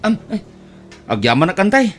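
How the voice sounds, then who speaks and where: A woman's voice: medium pitch, very animated, moderate pace, slight background noise, natural voice. A woman playing a put-upon, resentful young family driver, hesitant and plaintive, in an audio drama. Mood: hesitant, meek. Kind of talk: Ilocano audio drama acting.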